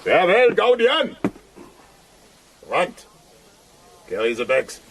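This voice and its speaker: An actor playing a cruel, domineering middle-aged German officer in a radio drama, mocking and commanding. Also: male voice, high-pitched, wildly swooping pitch, a bit bright, a little hiss or hum, heavily acted. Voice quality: mocking, commanding, slow and deliberate. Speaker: villain.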